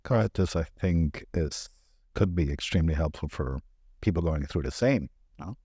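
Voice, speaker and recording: A man hosting a podcast, asking probing questions with gentle hesitations. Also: low voice, expressive, normal speed, breathy edge, dry recording, studio quality. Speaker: podcast host. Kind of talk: interview questions.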